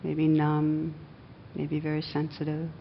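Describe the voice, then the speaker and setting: A woman meditation teacher leading a guided meditation in a gentle, unhurried manner with long pauses, on a dull recording. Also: monotone. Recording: dull, muffled sound with faint rumbling noise.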